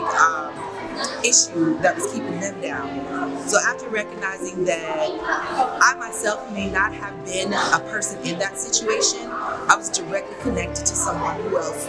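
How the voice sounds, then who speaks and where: Style: rich. A woman of middle pitch tells her story in a heartfelt, measured interview answer. She works in nonprofits. Music plays strongly underneath. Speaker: nonprofit advocate. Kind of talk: personal interview testimony.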